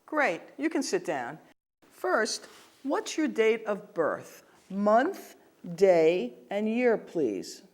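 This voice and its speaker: A woman's voice: mid-range voice, very animated, drawn-out words. A woman in the interviewer's role, asking formal questions politely in a scripted interview.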